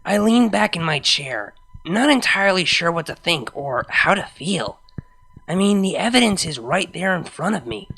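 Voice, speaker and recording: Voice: medium pitch, big pitch swings, normal speed, vocal fry, sharp tone, full character voice. A reader voicing an anxious, lovestruck young female pony DJ in a hesitant, introspective first-person fan fiction monologue. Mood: uncertain.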